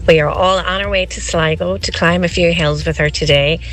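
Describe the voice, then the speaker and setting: A woman's voice: fairly low pitch, steady tone, fairly quick, poor audio. Gushing woman caller. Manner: warm and gushing. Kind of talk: birthday message.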